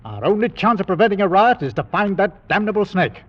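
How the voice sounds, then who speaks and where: Grave, terse sea captain. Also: male voice, high-pitched, very animated, a little fast, faint music behind. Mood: urgent, commanding. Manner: terse, weighty phrasing. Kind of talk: vintage radio drama acting. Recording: dull vintage radio recording.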